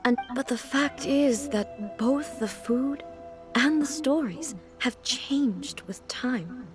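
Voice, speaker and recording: A regal, thoughtful goddess from a video game. Her voice sits fairly high, and she speaks formally and deliberately, with dignified reserve. Music is clearly heard underneath. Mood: reflective, solemn. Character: dignified, composed female deity ruler, thoughtful and reserved. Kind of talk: video game character dialogue.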